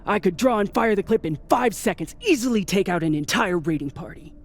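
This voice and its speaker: A high-voiced, hardened space pilot. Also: male voice, lively intonation, brisk. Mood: matter-of-fact. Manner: terse, wry, profane. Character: hardened, haunted, foul-mouthed space pilot grieving a husband. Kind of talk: dramatic video game dialogue.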